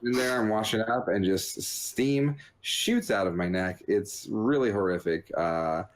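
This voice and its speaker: A man's voice: fairly low pitch, measured pace. A man hosting a video game show, giving casual, rambling commentary in a joking, understated way.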